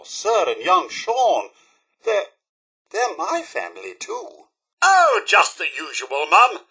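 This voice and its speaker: A man's voice: extremely high pitch, vocal fry, bright, forceful tone, slightly rough, full character voice. A man with a British accent voicing a prim, fussy, loyal robot butler in a video game, theatrical and formally polite. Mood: polite, eager. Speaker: voice actor. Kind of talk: video game companion voice lines.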